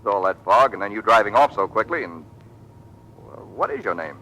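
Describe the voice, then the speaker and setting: A stubborn young American Navy flier in a vintage radio play. His voice is fairly low and bright, swinging widely in pitch over a noisy old recording. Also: very animated, fairly quick, exaggerated voice. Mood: polite, awkward. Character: proud, stubborn young Navy pilot, touchy and insistent. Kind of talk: old-time American radio drama acting.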